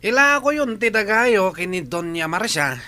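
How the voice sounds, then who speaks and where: A man's voice: sits high, sing-song, moderate pace, pushed and bright, heavily acted. A man with an Ilocano accent playing a sly, fawning henchman in an audio drama. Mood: pushy, wheedling. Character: sly, fawning male henchman eager for money. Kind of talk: scripted audio drama acting.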